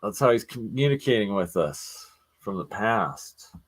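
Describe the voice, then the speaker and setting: A man's voice: fairly low pitch, sing-song, measured pace, slightly rough, no echo, low-quality recording. A Canadian man with a Canadian accent, a guest on a stream, chatting casually with dry jokes. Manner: dry, joking. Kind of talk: casual chat.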